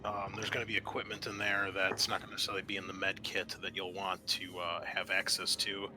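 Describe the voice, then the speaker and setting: A man narrating an improvised tabletop game as game master, halting often mid-sentence. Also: low-pitched, little pitch movement, background noise.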